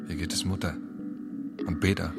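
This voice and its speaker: A man's voice: low voice, level tone, normal speed, creaky. An actor playing a middle-aged condemned prisoner and father, tender, resolute and earnest, in a radio play. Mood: tender, anxious. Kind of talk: German radio play acting. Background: music.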